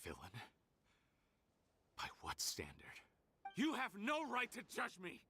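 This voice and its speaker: A man's voice: mid-range voice, animated, moderate pace, fairly bright tone, faint music behind. A man playing a bitter, defensive scheming researcher turned criminal in a video game, theatrical and scornful. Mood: indignant. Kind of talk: dramatic video game voice acting.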